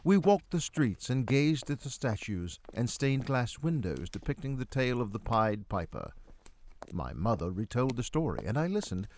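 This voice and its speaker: A man's voice: medium pitch, big pitch swings, moderate pace, darkish tone, a little hiss or hum. A man narrating a dramatic first-person story as a miserly, befuddled middle-aged doctor, in a theatrical, literary delivery. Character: miserly, befuddled 45-year-old male doctor recounting his own tale.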